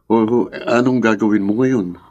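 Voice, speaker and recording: A man's voice: lowish voice, steady tone, normal speed, a little mellow, muffled recording, natural voice. An actor playing a concerned, helpful young male friend in a Filipino radio drama.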